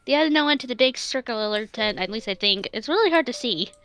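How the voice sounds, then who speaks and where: High-voiced woman playing a roleplay game. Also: expressive, fast talker, fairly bright tone, a little hiss or hum. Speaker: tabletop roleplay player. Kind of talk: casual improvised roleplay narration.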